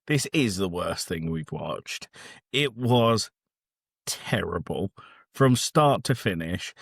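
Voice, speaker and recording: A man's voice: sits low, lively intonation, slow pace, gravelly, a bit bright, husky, no room sound, studio quality. A man co-hosting a film podcast, chatting casually in a blunt, sweary, emphatic way. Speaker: podcast co-host. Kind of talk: casual film review chat.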